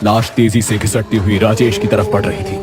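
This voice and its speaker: A man's voice: fairly low pitch, very animated, normal speed, over music. A man narrating a scripted horror story as its storyteller.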